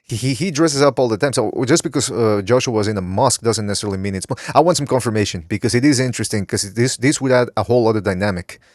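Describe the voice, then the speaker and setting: A man's voice: fairly low pitch, fast, hardly any pauses. A man hosting a casual podcast, rambling as he reads viewer chat comments aloud. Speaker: podcast host. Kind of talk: casual talk show chat.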